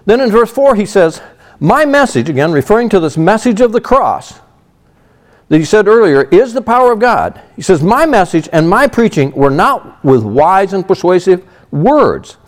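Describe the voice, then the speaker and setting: Man preaching, middle-aged, conversational Bible teaching, high voice, very animated pitch. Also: moderate pace. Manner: conversational Bible teaching, frequent "you know". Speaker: pastor. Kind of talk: sermon.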